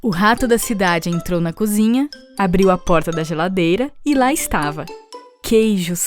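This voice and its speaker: A woman's voice: medium pitch, a little mellow. A woman with a Brazilian accent narrating a scripted children's story. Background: music.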